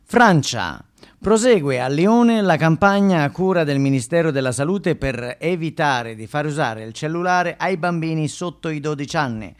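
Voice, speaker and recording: A man's voice: a little high, animated, moderate pace, clean tone, lightly acted. A man playing a bumbling, upbeat TV news anchor in a stage monologue, announcing emphatically with repeats and stumbles. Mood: bright, eager. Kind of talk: mock TV newscast in a stage monologue.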